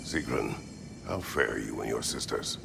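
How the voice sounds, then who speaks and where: An actor playing a brooding, weary warrior general in a video game, terse and grave, with a gravelly rumble. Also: male voice, lively intonation, a little slow, full character voice. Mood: stern, reserved. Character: brooding, weary male warrior general burdened by past evils. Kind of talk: dramatic video game acting. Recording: game music behind the voice.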